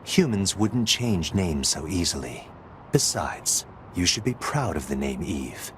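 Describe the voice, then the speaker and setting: A man playing a calm, erudite older-brother android in a video game, measured, formal and patient. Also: deep voice, slight background noise. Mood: patient, superior. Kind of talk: English video game voice acting.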